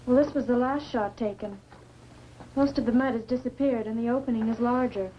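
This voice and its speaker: A woman's voice: fairly high pitch, a little fast, natural voice. An actress playing a businesslike female photographer on a research team, in 1950s science fiction film style. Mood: intent. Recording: dull, noisy old film soundtrack.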